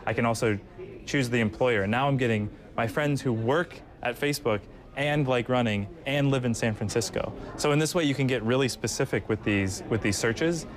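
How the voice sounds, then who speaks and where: A man's voice: medium pitch, moderate pace. A man giving a casual, step-by-step product explanation in an interview. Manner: informal, explains step by step with examples. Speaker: product manager being interviewed.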